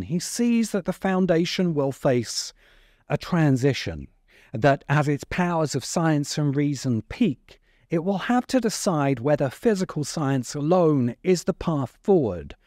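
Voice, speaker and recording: Middle-aged male, video essay narrator, animated intonation, dry close-miked sound. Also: a little high, dry recording. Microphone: close microphone on a stand in front of him.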